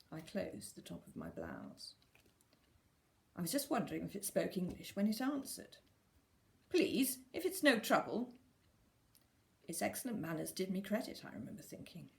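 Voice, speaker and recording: A woman reading a scripted first-person literary story in a dry, measured storytelling manner. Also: mid-range voice, vocal fry, darkish tone. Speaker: story reader.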